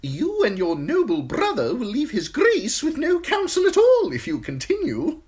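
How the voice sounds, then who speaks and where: A woman voicing a sly, simpering male eunuch courtier with a high, tittering voice, in an audiobook. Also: wildly swooping pitch, normal speed, a little mellow, husky, exaggerated voice. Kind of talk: audiobook character voice.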